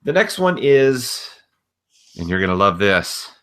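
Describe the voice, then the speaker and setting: A man's voice: fairly low pitch, sing-song, a little slow, a bit bright, smooth. A man co-hosting a casual wrestling news podcast, blunt, opinionated and freely profane. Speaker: podcast co-host.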